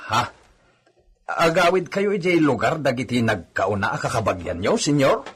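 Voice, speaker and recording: A man acting a loyal, nervous servant in a radio drama, husky and hesitantly deferential. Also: medium pitch, very animated, moderate pace, a bit bright, slight background noise. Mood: puzzled.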